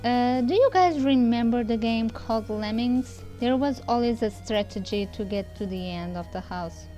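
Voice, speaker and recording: A woman's voice: a little high, normal speed, dark tone. A woman reviewer giving a casual, scripted game voice-over, chatting informally and addressing viewers directly. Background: music.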